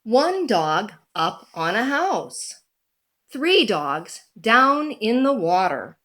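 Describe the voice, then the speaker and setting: Female storybook reader, higher-pitched, unhurried and expressive, deliberate read-aloud delivery. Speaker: children's book reader. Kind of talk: picture book read-aloud.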